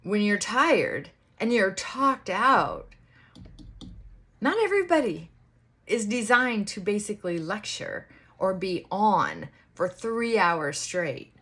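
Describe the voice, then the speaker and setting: A woman vlogger talking in casual, rambling chit-chat, reflective and halting, often trailing off and circling back. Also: medium pitch, big pitch swings, fairly bright tone, breathy edge.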